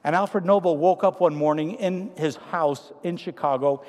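A Trinidadian man preaching from the pulpit, his voice sitting a little high for a man. He moves from lively storytelling to soft, earnest appeals, and a long reverb from the church room trails each phrase. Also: normal speed. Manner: animated anecdotes, then quiet earnest appeals. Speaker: pastor to seniors. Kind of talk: sermon with humorous storytelling. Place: church sanctuary.

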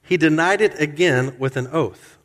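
Preacher with a long hall echo. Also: male voice, moderate pace. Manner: expository preaching, acts out quoted lines with rising pitch. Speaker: pastor. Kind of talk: sermon. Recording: hall reverb.

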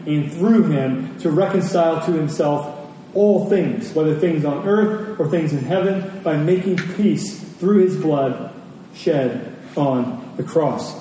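Male preacher, high and soft-edged tone, emphatic delivery, distant and very reverberant recording with faint noise. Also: slow pace. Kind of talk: sermon with personal anecdotes and scripture reading. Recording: very echoey hall, distant mic, faint noise.

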